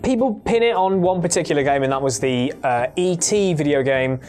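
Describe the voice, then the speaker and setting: A man's voice: fairly high pitch, animated, brisk, a little mellow. Earnest man explaining, music behind. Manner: earnest, detailed, self-correcting. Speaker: panellist on a gaming show. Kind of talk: casual explanatory storytelling.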